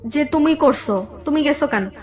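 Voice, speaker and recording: A young woman with a Bangladeshi accent telling her personal story in a radio interview, halting and repeating herself. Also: sits high, expressive. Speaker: guest telling own life story. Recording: dull lo-fi radio audio, background music.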